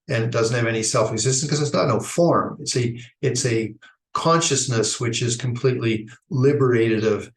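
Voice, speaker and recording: A man from Idaho giving an unscripted Buddhist teaching, talking in a thoughtful, halting way with many restarts. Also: mid-range voice. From Idaho, United States. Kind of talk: unscripted dharma talk. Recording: echoey room.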